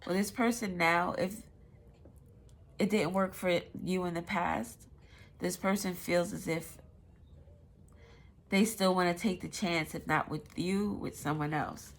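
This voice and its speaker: A woman's voice: on the low side, fairly even intonation, unhurried, long pauses. A woman with a stuffy nose giving a casual, rambling tarot reading, swearing now and then. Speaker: tarot reader.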